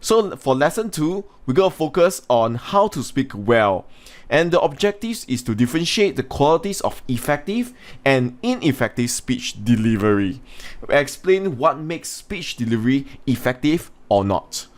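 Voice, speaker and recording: A man's voice: medium pitch, sing-song, moderate pace. A man teaching an English language lesson in an instructional way, talking students through points and putting questions to them. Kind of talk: scripted lesson narration with informal asides.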